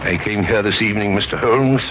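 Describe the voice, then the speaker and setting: A man as cold criminal mastermind, formal, deliberate, menacing, low voice, noisy old radio drama recording. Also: lightly acted. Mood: threatening. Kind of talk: 1930s radio acting. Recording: noisy, muffled 1930s radio broadcast.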